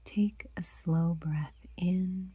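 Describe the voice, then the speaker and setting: A woman guiding a meditation in a soft, breathy whisper, unhurried and gentle, leaving long pauses. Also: on the low side, steady tone. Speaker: meditation guide.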